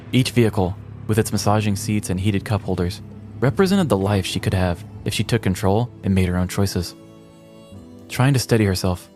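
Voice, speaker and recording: A man host narrating a scripted comedic crime story with a wry, deadpan delivery. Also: lowish voice.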